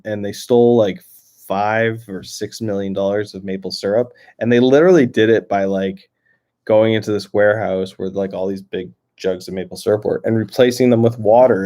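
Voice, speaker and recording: A man's voice: fairly low pitch, darkish tone. A young Canadian man with a Canadian accent, casually chatting and reading viewer comments on a live stream, full of fillers and restarts. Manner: rambling, filler-heavy, many restarts. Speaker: livestream host. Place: outdoors near a lake.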